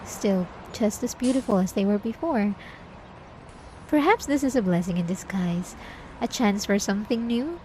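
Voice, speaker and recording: A woman playing a sweet, devout, obsessive young church girl in an ASMR roleplay, speaking haltingly with apologetic, feigned innocence. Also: mid-range voice, expressive, darkish tone, slight background noise, lightly acted, low-quality recording. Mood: wistful. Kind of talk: ASMR roleplay drama, addressed to the listener.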